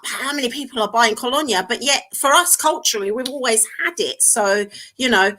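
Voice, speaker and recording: Woman, from North London, talk host, chatty, bright forceful tone. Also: a little high, fairly quick, poor audio. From North London, England. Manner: chatty, laughs often, reads out viewer comments. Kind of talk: casual discussion.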